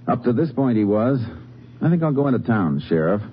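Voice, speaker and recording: An actor playing a calm, laconic Texas Ranger investigator in a 1950s radio drama, terse and methodical. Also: male voice, mid-range voice, brisk, a little hiss or hum, lightly acted. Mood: businesslike. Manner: terse, methodical, matter-of-fact. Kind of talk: 1950s radio drama acting and case narration. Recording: dull old radio recording.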